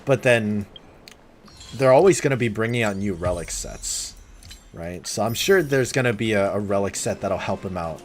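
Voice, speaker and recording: A man's voice: fairly low pitch, animated, moderate pace, a little hiss or hum. A man livestreaming a game, chatting casually in a laid-back, conversational way as he thinks aloud. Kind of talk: casual chat over gameplay. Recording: voice over loud game music. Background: loud game music.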